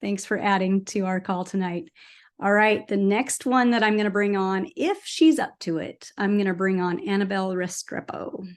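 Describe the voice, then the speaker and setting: Woman hosting, warm-up emcee energy, upbeat and full of praise between guests. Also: mid-range voice, moderate pace. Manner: upbeat, effusive. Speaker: call host. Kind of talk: enthusiastic introductions.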